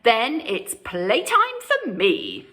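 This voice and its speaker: A woman voicing an excitable, carefree little male pig in a children's storybook, cheering and yelping. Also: a little high, extremely slow, voice pushed up, heavily acted. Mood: cheerful. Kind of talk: children's storybook read-aloud.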